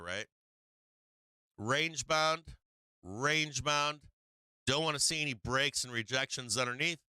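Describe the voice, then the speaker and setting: A man hosting a live stock market stream, talking viewers through charts in a rambling, casual way, his voice going a little hoarse. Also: medium pitch, bright, forceful tone, dry recording. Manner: rambling, talks to viewers while walking through charts, many fillers. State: losing his voice.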